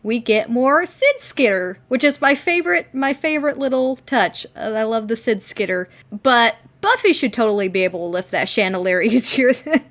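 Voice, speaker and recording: A woman's voice: fairly high pitch, expressive, normal speed, fairly bright tone. A woman co-hosting a podcast, chatting casually and enthusiastically as a giggly fan recapping a TV episode. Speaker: podcast co-host.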